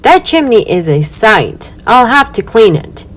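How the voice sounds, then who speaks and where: A woman's voice: fairly high pitch, very animated, a little slow, a bit bright, voice pushed up, exaggerated voice. A woman voicing a cheerful, literal-minded and eager housemaid from a children's storybook, earnest and emphatic. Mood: cheerfully confident.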